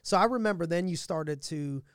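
A man's voice: a little high, expressive, moderate pace, no echo, clean studio sound. A man hosting a podcast interview, talking in a casual, enthusiastic and affirming way. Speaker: podcast host.

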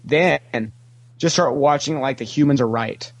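Male podcast guest, mid-pitched voice, casual fan banter, opinionated with a sarcastic comic streak. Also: moderate pace. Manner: opinionated, animated when quoting, sarcastic joking. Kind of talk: casual, joking fan chat.

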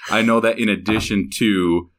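Low-pitched American male podcast host. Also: steady tone, normal speed, a bit bright. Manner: conversational, complimentary. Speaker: podcast host and chamber executive director. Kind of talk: podcast interview hosting. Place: studio in an office.

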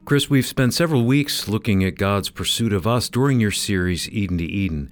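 A man's voice: fairly low pitch, brisk. A man working as a radio co-host and announcer, delivering scripted program lines. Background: background music.

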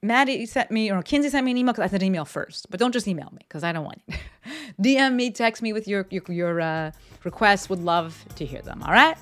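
A woman's voice: mid-range voice, animated, fairly quick, fairly bright tone. Blunt, swearing podcast host, woman. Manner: blunt and profane, lots of fillers. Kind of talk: casual solo podcast talk. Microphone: desk microphone.

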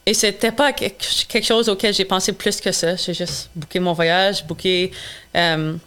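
Young woman, english is her first language, smooth voice, earnest, lots of euh pauses. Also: fairly low pitch, steady tone, fairly bright tone. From Quebec. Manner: earnest, frequent hesitations. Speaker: guest, charity founder. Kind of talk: interview answers.

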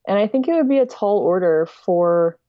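A woman giving measured, explanatory answers as a guest in a podcast interview. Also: mid-range voice, animated, no echo. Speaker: podcast guest, music archive director. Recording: dull lo-fi sound.

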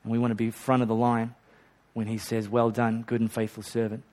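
A man's voice: medium pitch, monotone, fairly quick, darkish tone. A male pastor preaching a sermon and leading an altar call in a church. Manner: earnest, leads a repeat-after-me prayer. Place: hot church auditorium.

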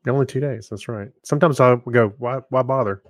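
A man's voice: medium pitch, a little fast, no room sound. Casual male podcast co-host, dry studio sound. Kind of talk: casual banter, reading listener comments.

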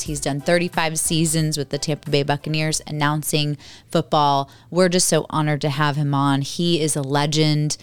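A woman with a low voice co-hosts a podcast. She is effusive and eager, heaping praise on her guest. Faint music plays in the background. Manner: effusive, enthusiastic. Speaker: podcast co-host. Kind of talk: casual interview chat.

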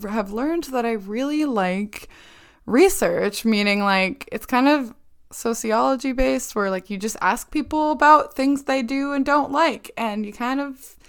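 A woman's voice: fairly high pitch, clean tone. A young woman co-hosting a podcast in casual chat, talking with lots of "um" and "like". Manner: unhurried, many fillers like "um" and "like". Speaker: podcast co-host. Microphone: video call.

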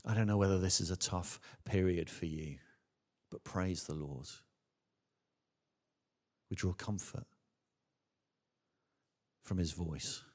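A man, a Baptist minister, preaching a sermon in an earnest, emphatic way with rhetorical questions and deliberate repetition. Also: low voice, darkish tone. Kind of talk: sermon to a congregation. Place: large church or hall, speaking from a stage. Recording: reverberant hall with long echo.

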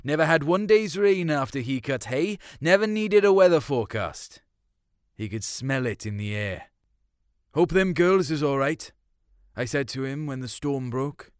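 A man's voice: fairly high pitch, lively intonation, a little slow, smooth, no echo. A man acts out a Welsh hill farmer from a storybook, looking back on his youth. He talks in homely country dialect with a wistful, colloquial manner. The recording is dry. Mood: troubled, wistful. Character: Uncle Jack, big bearded Welsh hill farmer reminiscing fondly. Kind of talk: storytelling short-story reading.

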